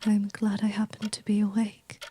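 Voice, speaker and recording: An actress playing a young governess in an audio drama, reserved and earnest, speaking formally. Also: female voice, mid-range voice, completely flat delivery, moderate pace, dark tone, slight background noise, lightly acted. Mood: restrained. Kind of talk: audio drama acting and first-person narration.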